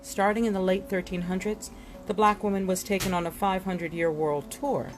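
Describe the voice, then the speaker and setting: A woman reading a history book aloud in an expressive, storytelling way, with deliberate dramatic pauses and emphasis. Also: mid-range voice, soft background music. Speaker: reader presenting an illustrated history book. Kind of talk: expressive read-aloud narration with asides.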